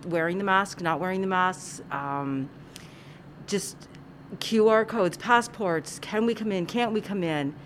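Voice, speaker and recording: A woman's voice: medium pitch, a little slow, slight background noise. A woman who co-manages a cinema, chatting earnestly in an interview recorded in a theatre lobby, with many ums. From Ontario, Canada. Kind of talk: casual interview.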